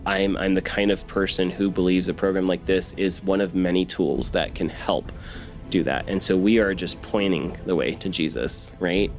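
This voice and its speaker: A man's voice: low-pitched, steady tone, normal speed, soft background music. A man talking conversationally in an interview as a producer, often checking in with short tag questions.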